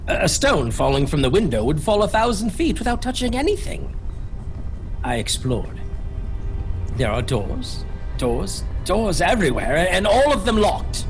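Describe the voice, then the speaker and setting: An actor playing a nervous English gentleman held captive in a horror audio drama, halting and stammering as he speaks. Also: high-pitched, big pitch swings. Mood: mounting dread. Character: anxious English gentleman trapped in a vampire's castle. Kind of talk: theatrical horror audio drama narration and acting. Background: music.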